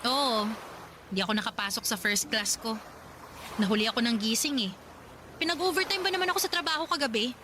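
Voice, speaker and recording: A woman's voice: a little high, fairly quick, pauses often, bright, forceful tone, a little hiss or hum. An actress playing a broke young female college student who complains about work and money, in a radio drama with music underneath. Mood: chatty. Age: young adult. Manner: chatty, grumbling about her hardships. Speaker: working student character.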